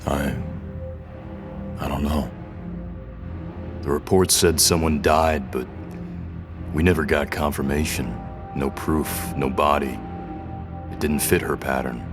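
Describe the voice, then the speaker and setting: An actor voices a male rebel captain held prisoner, with an extremely deep voice and slow delivery. He speaks haltingly and guardedly, defiant yet confused, in science fiction drama style over a music bed. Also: fairly even intonation. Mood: shaken, confused.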